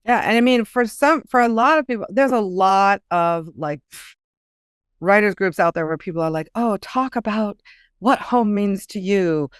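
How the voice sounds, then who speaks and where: Female author guest, smooth clear voice, animated conversational storytelling, dry close sound. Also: mid-range voice, moderate pace, no echo. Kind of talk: casual interview chat.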